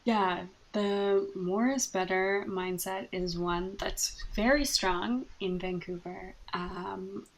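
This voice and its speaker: A soft-spoken woman therapist from Canada, speaking thoughtfully in a podcast interview and laughing often. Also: medium pitch, fairly even intonation, slow, few pauses, poor audio. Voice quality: soft voice with gravelly fry.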